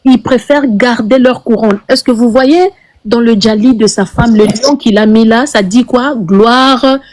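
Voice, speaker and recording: A woman's voice: fairly high pitch, a little slow, a little mellow. A woman calling into a live chat, explaining her view in an earnest, casual way.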